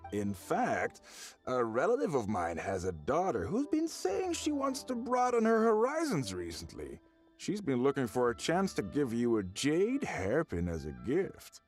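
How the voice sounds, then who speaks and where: An actor playing a pushy, prying tabloid reporter in a video game, with a theatrical, insinuating, hemming manner. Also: male voice, on the high side, wildly swooping pitch, a little slow, soft background music, full character voice. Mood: flattering, prying.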